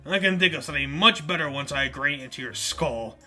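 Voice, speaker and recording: A man's voice: on the high side, brisk, soft background music. A man playing a sneering school bully. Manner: sneering, aggressive, loud. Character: loud, mean rich-kid school bully.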